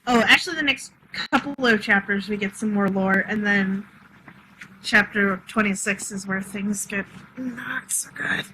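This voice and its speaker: A woman reading a novel aloud in an expressive voice, then chatting casually with laughs and some swearing. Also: medium pitch, unhurried, a little hiss or hum, low-quality recording. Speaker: reader.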